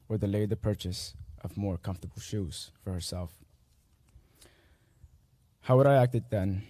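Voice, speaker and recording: A late-teen young man whose first language is Spanish reads his graduation essay from a podium mic. His voice sits low and mellow, and the sound is close and dry. He speaks in earnest, measured phrases with small pauses. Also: no echo. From Guatemala. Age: late teens. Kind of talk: reading a personal essay aloud at a graduation. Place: school commencement ceremony.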